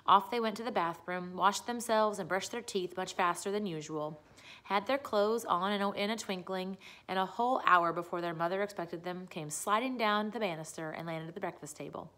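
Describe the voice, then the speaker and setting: A woman narrates a children's book. Her voice is of middle pitch. She reads aloud in the style of a storyteller. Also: moderate pace, a bit bright.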